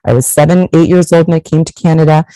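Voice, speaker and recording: A middle-aged woman from Portugal talks straight to her viewers with blunt emphasis and the odd swear word. Her voice is warm and mellow, and the close recording is dry with no room sound. She speaks in a candid, advice-giving monologue. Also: sits low, level tone, fast talker, no room sound, rough recording. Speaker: vlogger.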